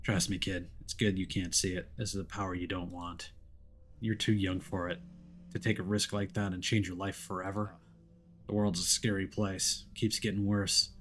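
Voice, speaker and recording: A man voicing a gruff, world-weary, protective detective in a video game story, speaking in a dry, terse, understated way. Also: low voice, flat delivery, normal speed, fairly bright tone, a little hiss or hum. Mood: weary, grave. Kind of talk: visual novel character voicing.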